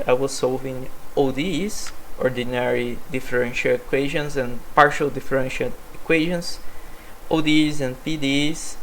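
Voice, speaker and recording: A man's voice: medium pitch, slight background noise, low-quality recording. A young man from Brazil, a native Portuguese speaker, presenting his developer background in English with many fillers and restarts. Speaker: software developer. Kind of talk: self-presentation monologue.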